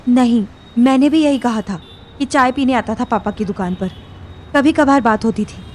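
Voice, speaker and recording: A woman's voice: fairly high pitch, normal speed, darkish tone, slight background noise, natural voice. A woman playing a distressed young daughter in an audio drama, stubborn and defensive. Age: young adult. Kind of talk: Hindi audio drama acting.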